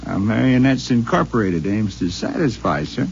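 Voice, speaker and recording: A man's voice: lowish voice, animated, moderate pace, vocal fry. An actor playing a polite, humanlike male robot double in a 1950s radio drama, speaking courteously and mildly, on a dull old recording with faint hiss. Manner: courteous, deferential, mild.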